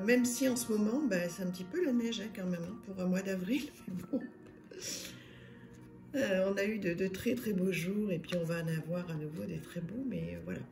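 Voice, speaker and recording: Hoarse gravelly woman, hesitant. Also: medium pitch, roomy sound. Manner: soft, hesitant, many fillers. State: slightly hoarse. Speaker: tarot and oracle channel host. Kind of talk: casual card deck review.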